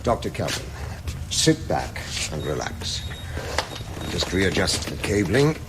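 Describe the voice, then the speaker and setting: An actor playing a brisk, officious scientist in a radio drama, giving formal instructions. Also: male voice, low voice, huge pitch swings, noisy background, exaggerated voice. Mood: clinical, directing. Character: brisk, officious male scientist running an experiment.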